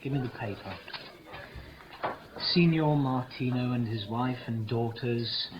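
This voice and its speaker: A man's voice: medium pitch, a little slow, background noise, close to an everyday voice. An actor playing a brooding, lovesick young nobleman with theatrical verse delivery in a 1950s radio drama. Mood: curious. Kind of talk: 1950s radio Shakespeare acting. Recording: muffled old radio recording, crowd behind.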